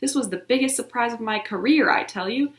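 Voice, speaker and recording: A woman voicing a loud, boisterous little girl bursting with excitement, proud and bouncy. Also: a little high, a little fast, pitched above the performer's own voice, close to an everyday voice. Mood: chatty. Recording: roomy echo.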